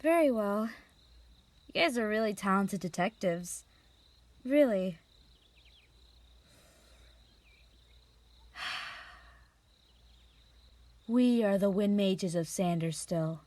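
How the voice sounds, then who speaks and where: A woman playing a young, unrepentant wind mage killer in a fantasy audio drama, measured, resigned and quietly menacing. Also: medium pitch, animated, lightly acted. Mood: resigned, calm. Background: music.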